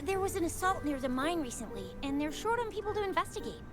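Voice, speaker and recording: Young female patrol officer for a game: very high, animated, friendly and eager, over music. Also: fast talker. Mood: earnest. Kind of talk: video game voice acting. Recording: music playing behind the voice.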